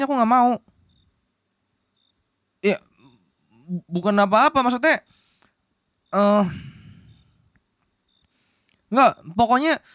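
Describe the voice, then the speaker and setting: A man playing a young demon prince in a romantic ASMR roleplay, soft-hearted but bossy, with flustered, possessive tsundere manners. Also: very high voice, no room sound, studio quality. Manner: stammering, flustered denials, possessive commands.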